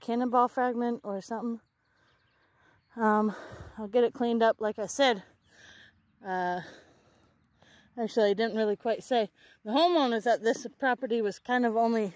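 An excited woman in her thirties talks to viewers while walking a farm field, often correcting herself as she goes. Her voice sits a little high and sounds dry and close, with little room sound. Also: no room sound. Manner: excited, chatty, self-correcting. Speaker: metal detecting vlogger. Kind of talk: casual outdoor vlog narration. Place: open farm field outdoors. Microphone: handheld or body camera close up.

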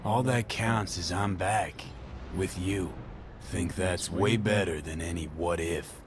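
An actor playing a gruff, laid-back rebel man with a tender side in a video game, low-key and terse. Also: slow pace. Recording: clear ambient noise behind.

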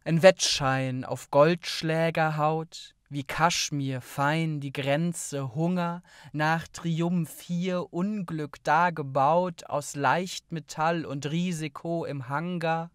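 Slow, clear poetry reader. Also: mid-range voice, fairly even intonation, no room sound, clean studio sound. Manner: measured, deliberate phrasing with pauses. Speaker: poet reading own poems. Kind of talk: literary poetry reading, spoken word.

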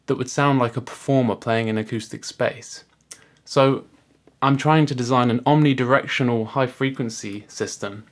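A man in his thirties giving a scripted explainer to camera as a student presenting his project. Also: mid-range voice. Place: small indoor room at home.

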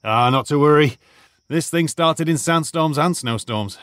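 A lecturing old archaeologist teacher. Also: male voice, fairly high pitch, a bit bright, dry recording, studio quality. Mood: measured. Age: older. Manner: measured, explanatory, professorial. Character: retired archaeologist turned high school teacher, lecturing and cautious. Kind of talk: audio drama acting.

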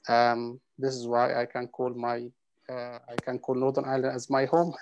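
A man's voice: medium pitch, little pitch movement, moderate pace, darkish tone, highs cut off. A man in his forties from Egypt, an imam, introducing himself hesitantly with many fillers on a video call from home. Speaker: imam and project coordinator. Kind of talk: panel self-introduction. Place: home room, indoors.

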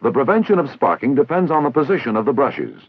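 An American man reading scripted instructional narration in a formal, measured, didactic way, as on a 1960s training film soundtrack. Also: medium pitch, sing-song, slightly rough, muffled recording. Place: recorded voice-over.

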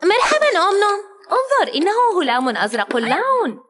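A woman's voice: very high voice, wildly swooping pitch, a little fast, a bit bright. A woman narrating a children's learning cartoon, exclaiming and asking questions as she talks to the character and young viewers.

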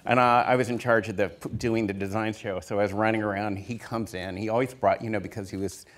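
A man's voice: fairly low pitch, fairly even intonation, fast. A man in his fifties, a production designer on a stage panel, telling stories with many fillers and restarts into a handheld mic. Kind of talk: casual storytelling on a panel. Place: theater stage before an audience.

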